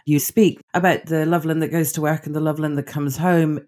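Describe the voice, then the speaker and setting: A male podcast host with a voice pitched slightly high. He asks his guest curious, probing questions in a calm, conversational tone. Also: fairly quick. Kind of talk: interview questions.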